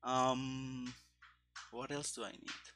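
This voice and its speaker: A man in his thirties thinking aloud as he codes live, halting and muttering in fragments into a headset mic. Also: medium pitch, level tone, fairly bright tone, a little hiss or hum. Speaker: game developer streaming his coding. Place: small room indoors.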